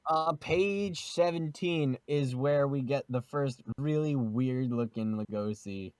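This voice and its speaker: A man's voice: medium pitch, slow, darkish tone, dry recording. A man chatting casually as a podcast host, joking, swearing and rambling as he goes. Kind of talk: casual group chat about a manga.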